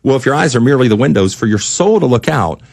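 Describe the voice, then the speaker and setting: Male talk radio guest, rapid and preachy, emphatic rhetorical delivery, close dry studio sound. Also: mid-range voice, lively intonation, creaky, darkish tone, dry recording. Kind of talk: impassioned talk radio rant.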